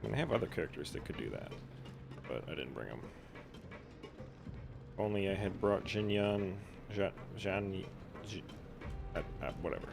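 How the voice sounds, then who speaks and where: A man's voice: deep voice, animated, moderate pace, creaky, pauses often. A man giving casual, offhand, sweary live commentary while playing a video game. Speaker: game livestreamer. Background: game music.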